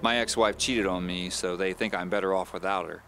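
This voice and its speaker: A man's voice: deep voice, fairly even intonation, fairly quick, gravelly, bright, forceful tone, slight background noise, heavily acted. A man playing a polite, wry thriller novelist in an audio drama, courteous and dryly humorous. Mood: matter-of-fact. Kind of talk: audio drama first-person storytelling.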